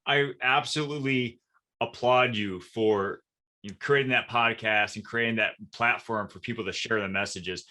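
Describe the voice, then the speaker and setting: A man interviews a guest in a casual, supportive manner. His voice is bright and forceful, with an average pitch for a man. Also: moderate pace. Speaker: podcast interviewer.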